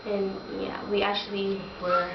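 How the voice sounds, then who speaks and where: A woman's voice: mid-range voice, monotone, unhurried, vocal fry. Hesitant young survivor, played by a woman, plain-spoken and uneasy, in a roomy, noisy student drama recording. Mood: uneasy, hurt. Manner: hesitant, casual youthful phrasing. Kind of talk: student-acted mock interview. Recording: echoey, noisy lo-fi room recording.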